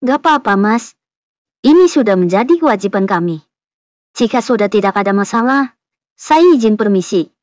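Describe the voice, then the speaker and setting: A woman's voice: medium pitch, animated, normal speed, long pauses, no room sound, natural voice. A woman playing a courteous, formal hotel employee in a cartoon horror comedy, on a dull, muffled recording. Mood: polite. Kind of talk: animated horror-comedy voice acting.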